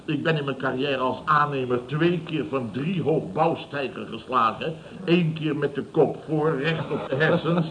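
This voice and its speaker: An actor playing a scornful, blustering fifty-year-old building contractor boss in an old radio comedy, mocking and repeating himself. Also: male voice, on the high side, lightly acted. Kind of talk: Dutch radio comedy acting around 1970. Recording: dull, noisy old radio recording.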